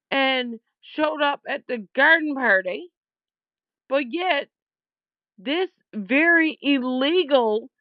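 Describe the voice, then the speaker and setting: A woman's voice: sits high, dry recording. A woman leaving an informal complaint voicemail over the phone, speaking in a blunt, aggrieved and emphatic way, with dull lo-fi sound. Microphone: phone call.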